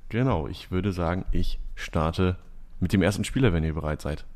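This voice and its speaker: A young man hosting a podcast quiz, reading out clues in a calm, measured way. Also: low voice. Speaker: quiz host.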